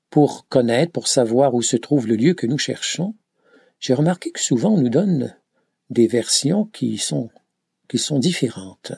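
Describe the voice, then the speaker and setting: Man, gravelly, hesitant, many filler sounds, slow delivery. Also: medium pitch, dark tone, no room sound, studio quality. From Canada. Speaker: pilgrim recounting a journey. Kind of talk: reflective travel account.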